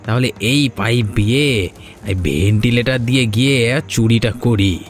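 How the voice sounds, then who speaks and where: A man's voice: mid-range voice, normal speed, natural voice. A man voicing a young, sly thief in a cartoon, the farmer's wayward son, muttering his schemes to himself. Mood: scheming. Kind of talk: cartoon dubbing.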